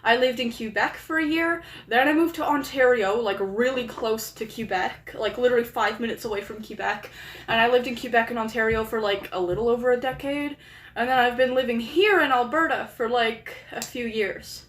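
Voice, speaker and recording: Young woman, Canadian accent, high voice, scattered casual streamer chatter; echoey room. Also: fairly quick. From England. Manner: chatty and scattered, talking to chat while fiddling with her setup. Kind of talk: casual live-stream chat. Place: small rented room at a desk.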